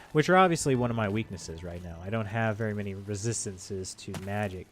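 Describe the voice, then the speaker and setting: A congested man chatting loosely on a game livestream, rambling through his thoughts with plenty of fillers and restarts. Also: lowish voice. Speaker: streamer. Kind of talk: casual livestream chat. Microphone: desk microphone. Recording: dry close sound.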